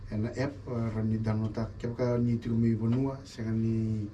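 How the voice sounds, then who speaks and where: Flat, dark-voiced male co-host. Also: lowish voice, normal speed, lo-fi sound. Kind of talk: radio talk show. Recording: distant mic with faint noise.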